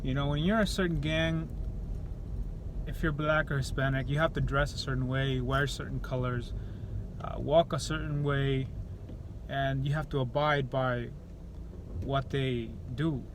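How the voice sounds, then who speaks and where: A man's voice: mid-range voice, steady tone, measured pace, background noise. A man from El Salvador talking straight to camera in an opinionated vlog, blunt and profane, often restarting his sentences. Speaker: YouTuber.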